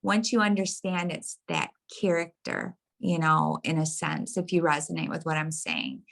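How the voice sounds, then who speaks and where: A woman podcast guest from the United States talking casually and earnestly about her views, haltingly, checking that she is followed, her voice tiring. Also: sits low, expressive, gravelly, fairly bright tone. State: voice tiring, throat clearing. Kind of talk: casual reflective spiritual conversation.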